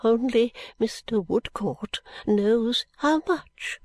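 Fluttery eccentric old lady, high and slow, genteel and nervous, as a woman reader puts her on. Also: darkish tone, dry recording, studio quality. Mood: hushed confiding. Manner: high, slow, stately and confiding, drops to whispers.

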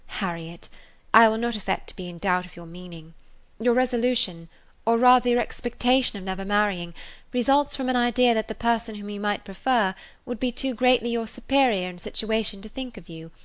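A woman voicing a composed, judicious, gently superior young lady in a storybook, speaking in a measured, admonishing manner. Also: on the high side, close to an everyday voice. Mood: measured, advising. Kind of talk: dramatised audiobook character reading.

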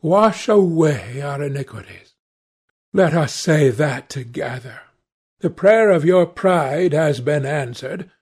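Man voicing an anguished painter, husky and raised. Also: on the high side, lively intonation, takes it slowly, darkish tone, full character voice. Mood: anguished pleading. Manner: agitated, imploring, theatrical. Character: anguished male painter, horrified, moralising, devout. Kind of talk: dramatic audiobook character voice.

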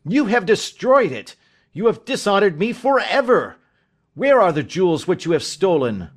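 Audiobook role: furious, accusing father of mature years, played by a male reader, declamatory, raised pitch. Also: big pitch swings, normal speed. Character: outraged banker father, shouting at his son. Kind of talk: audiobook character dialogue.

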